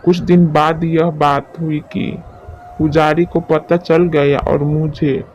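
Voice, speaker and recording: A man playing a remorseful, solemn half-stone man of the underworld in an animated moral story. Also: fairly high pitch, slow pace. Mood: solemn confession. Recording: muffled lo-fi, faint noise.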